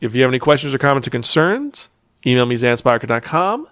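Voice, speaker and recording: A man's voice: medium pitch, sing-song, fast, fairly bright tone, dry recording, studio quality. A man hosting a review podcast in a casual, enthusiastic and emphatic way, speaking directly to listeners. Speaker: podcast host and manga reviewer.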